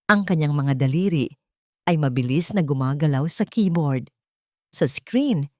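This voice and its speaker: A Filipino woman narrates a dramatic story in a low voice. The recording is dry and close, and she delivers the scripted lines as a storyteller. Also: a little mellow, no room sound.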